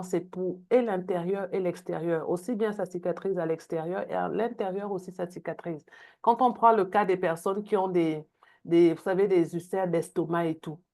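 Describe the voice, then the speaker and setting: A woman's voice: on the low side, a little mellow. A woman giving a product training on a video call, with frequent hesitations and repeated words. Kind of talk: online training talk.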